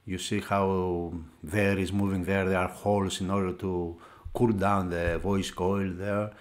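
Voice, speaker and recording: A man who is a non-native English speaker gives an unscripted hands-on product review, pausing often as he searches for words. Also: sits low, level tone. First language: not English. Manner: halting, searching for words, with frequent hesitations. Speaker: product reviewer for an audio magazine.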